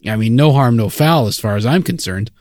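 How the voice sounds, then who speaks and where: A man's voice: mid-range voice, expressive, fairly quick, a little mellow, dry recording, clean studio sound. A man co-hosting a comedy podcast, laughing through absurd made-up stories. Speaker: podcast co-host. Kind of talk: improvised comedy podcast banter.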